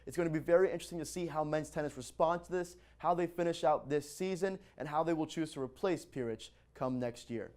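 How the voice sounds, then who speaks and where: Young male student anchor, upbeat scripted sports news, slightly high voice. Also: fairly quick, hardly any pauses, darkish tone. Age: young adult.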